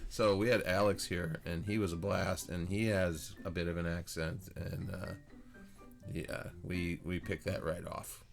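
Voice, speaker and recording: Deep gravelly man with teasing humor. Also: level tone, measured pace, faint music behind. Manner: teasing, dry humor, chuckles often. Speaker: carving instructor and channel host. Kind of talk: joking casual interview.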